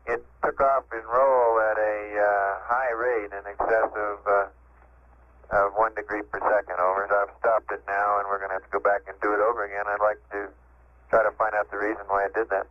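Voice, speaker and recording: A man's voice: on the low side, level tone, brisk, no echo. A man speaking as an astronaut over radio, reporting procedures in a businesslike, hesitant way. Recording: muffled, hissy 1960s space radio transmission.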